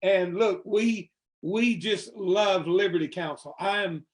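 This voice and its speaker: High-voiced man hosting, rambling. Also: slow pace. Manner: rambling, many fillers and restarts. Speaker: host. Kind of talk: casual interview chat.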